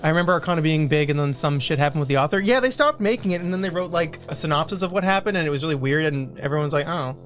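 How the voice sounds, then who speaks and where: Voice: medium pitch, very fast, few pauses. Rambling livestream co-host over music. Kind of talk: casual livestream chat.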